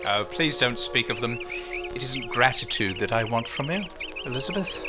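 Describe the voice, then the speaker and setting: A man acting a reserved, earnest young gentleman in love in a 1940s-style radio drama, formal and measured. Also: medium pitch, expressive, lightly acted. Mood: tender, earnest. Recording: music playing under the dialogue. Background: music.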